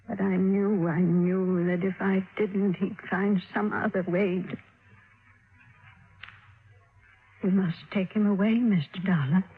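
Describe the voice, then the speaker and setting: An actress playing an anguished woman confessing her fears in 1950s radio drama, urgent and halting. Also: fairly low pitch. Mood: anguished, resigned. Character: anguished married woman confessing fear of a wicked man. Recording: tinny, band-limited old radio recording.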